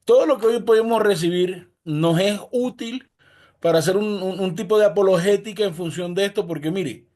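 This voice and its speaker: A man's voice: sits high, normal speed, a little mellow. A man from Venezuela, a pastor, asking a question over a video call in a formal, earnest way. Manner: formal, earnest, some repeated words. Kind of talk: question from a participant in an online class.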